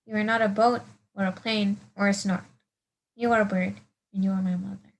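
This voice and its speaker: A woman's voice: medium pitch, fairly even intonation, fairly quick, creaky, natural voice. Woman playing an innocent, eager little baby bird. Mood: sure. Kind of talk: storybook read-aloud.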